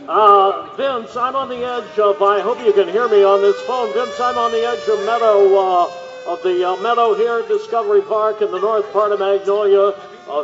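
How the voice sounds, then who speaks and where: A man playing a rattled news reporter live on the scene in a radio drama, halting and stammering with agitation. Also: sits high, lightly acted. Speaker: field reporter. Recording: dull phone report, long reverb, ambient noise.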